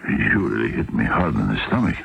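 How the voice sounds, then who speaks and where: Very deep, gravelly, bitter vagrant. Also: male voice, very animated, brisk, dull audio, full character voice. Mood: gruff placating. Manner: rambling, muttering, grumbling, swinging to outbursts. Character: shifty, hard-drinking, bitter Russian vagrant labourer with a grudge. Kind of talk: old-time radio drama acting. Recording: noisy old radio broadcast tape.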